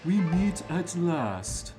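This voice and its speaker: A man's voice: on the high side, very animated, extremely slow, over music, full character voice. A man voicing a stern, elderly blind martial arts master in an amateur fantasy story reading. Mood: grave.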